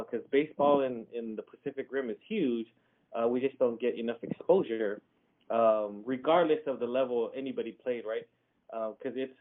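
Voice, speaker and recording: A man hosting a casual phone interview, friendly and rambling, dropping "man" into his questions. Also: mid-range voice. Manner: friendly, rambling, chuckles, says "man" a lot. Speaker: interviewer, host. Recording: tinny phone line with noise behind.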